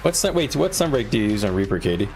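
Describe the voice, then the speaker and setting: A man's voice: mid-range voice, big pitch swings, very fast, a little mellow. A man talking casually to viewers on a live gaming stream, explaining things in an informal, conversational way. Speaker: game livestreamer. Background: music.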